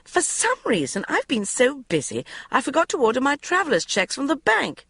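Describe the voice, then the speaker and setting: A woman voicing a flighty, middle-aged mother who talks in a high-pitched, hysterical, gushing way. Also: wildly swooping pitch, moderate pace, a bit bright, dry recording, heavily acted. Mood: wheedling. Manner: breathless gushing, wheedling.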